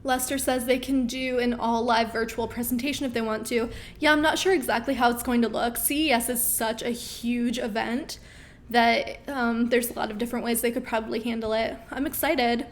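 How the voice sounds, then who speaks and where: A woman's voice: a little high, fairly even intonation, normal speed. A woman in her thirties hosting a live question-and-answer stream, reading viewer comments in a chatty, upbeat way with frequent ums, her voice getting worn. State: losing her voice.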